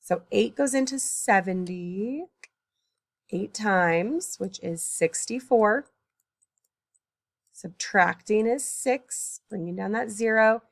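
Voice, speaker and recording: A woman with a gravelly, creaky edge to her voice talks through a math lesson, working each problem aloud. She stops to correct her own slips and sounds tired, early in the morning. The recording is dry and close, with no room sound. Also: mid-range voice, slow pace, no echo. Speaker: math teacher.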